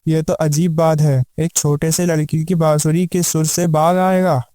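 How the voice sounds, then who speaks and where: A man's voice: fairly high pitch, little pitch movement, unhurried, mellow, dry recording, clean studio sound, natural voice. A man playing a worried, suspicious village man in a cartoon moral story, emphatic and questioning. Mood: sceptical. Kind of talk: Hindi animated moral story acting.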